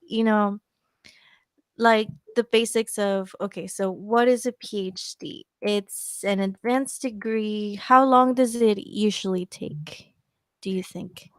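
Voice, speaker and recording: A woman's voice: mid-range voice, fairly even intonation, slow pace, smooth, dry recording. A young woman hosting a podcast who asks her questions in a rambling, filler-heavy way. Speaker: podcast host. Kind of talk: casual podcast interviewing.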